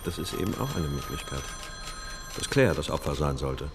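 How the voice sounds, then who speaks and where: A brooding, intuitive cop with a very low voice that moves animatedly. He reasons aloud in questions, radio-drama style, over clear traffic, siren and phone noise. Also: lively intonation, moderate pace, heavily acted. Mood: speculating. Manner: questioning, insistent, thinking aloud. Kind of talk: naturalistic German radio crime drama acting.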